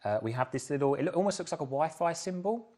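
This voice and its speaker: A man's voice: a little high, expressive, fast, darkish tone. A man in his thirties giving a casual, explanatory product demonstration in an indoor workshop showroom.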